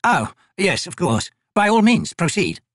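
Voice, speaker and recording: Man doing a husky, meek old trader. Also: high-pitched, sing-song, a bit bright, dry recording, studio quality, voice pushed up, exaggerated voice. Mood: nervous, polite. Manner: slow, hesitant, formally polite. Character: meek old male trader and artisan, nervously polite. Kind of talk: audiobook character voice.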